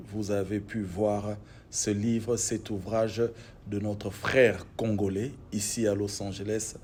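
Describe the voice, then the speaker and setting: Low-voiced formal interview host. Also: male voice, warm tone, a little hiss or hum. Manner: formal, repetitive phrasing, emphatic introductions. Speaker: show host.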